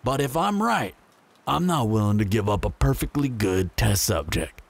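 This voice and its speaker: A man's voice: on the low side, animated, normal speed, gravelly. A man playing a menacing, smug apocalypse bandit in an audio roleplay, taunting and mock-friendly with a drawl.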